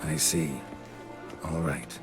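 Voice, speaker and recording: Gruff, very deep-voiced monster hunter. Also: male voice, slow, over music. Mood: businesslike, stoic. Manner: terse, clipped, deadpan. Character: gruff, stoic male monster hunter for hire. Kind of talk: video game character acting.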